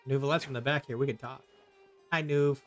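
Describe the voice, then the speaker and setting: A man streams a game and comments casually in short asides. His voice sits at a middling pitch, with faint game music behind it. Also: steady tone, a little fast. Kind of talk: casual live gameplay commentary.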